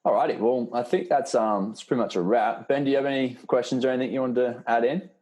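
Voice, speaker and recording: A man's voice: medium pitch, fast, a little mellow. A man hosting a casual group call as a fitness coach, speaking in an encouraging, reassuring, informal way. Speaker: host and fitness program coach. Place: live online video call.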